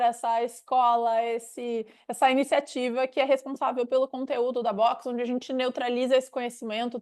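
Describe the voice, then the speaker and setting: A woman's voice: on the high side, darkish tone. A Brazilian woman with a gaúcho accent hosting a webinar, talking in a conversational, explanatory way. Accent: gaúcho Brazilian Portuguese. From Rio Grande do Sul, Brazil. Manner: didactic, explanatory. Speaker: webinar host, director of a knowledge unit. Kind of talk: conversational presentation.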